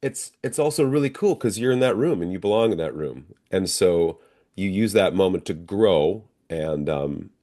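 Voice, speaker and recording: A man's voice: low-pitched, expressive, moderate pace, warm tone. A middle-aged man, an actor, answering interview questions in a reflective, conversational way. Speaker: stage and screen actor, interview guest.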